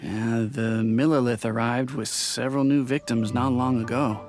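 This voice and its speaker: A man's voice: mid-range voice, steady tone, moderate pace, natural voice. A man playing a polite, earnest innkeeper in a video game, speaking formally and courteously with music behind him. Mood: obliging.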